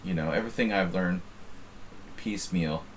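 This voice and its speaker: A man's voice: sits low, normal speed, slight background noise, poor audio. An American man casually chatting while streaming online chess, rambling and self-deprecating as he reads the chat. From United States.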